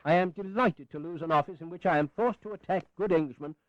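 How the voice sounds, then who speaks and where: An actor playing an indignant, principled old British general and minister in a historical audio play, sardonic and oratorical. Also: male voice, a little high, quick pace, no echo, natural voice. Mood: defiant. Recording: slightly dull 1980s audio recording.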